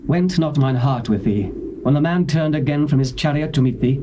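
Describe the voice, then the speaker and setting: An English actor playing a stern, righteous older prophet, speaking in a grave, measured way. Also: male voice, fairly high pitch, background noise, natural voice. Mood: rebuking.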